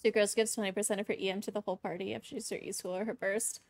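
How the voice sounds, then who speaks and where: A woman's voice: medium pitch, steady tone, rapid-fire. Girl streaming a game, mid-range voice, chatty and playful, just talking along, no room echo. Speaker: game livestreamer. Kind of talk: casual live gameplay commentary.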